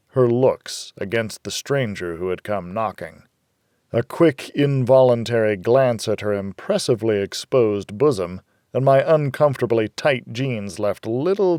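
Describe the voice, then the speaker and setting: A man's voice: medium pitch, a little mellow, no echo, studio quality. A man narrating a hardboiled noir audiobook in the first person, wry and world-weary.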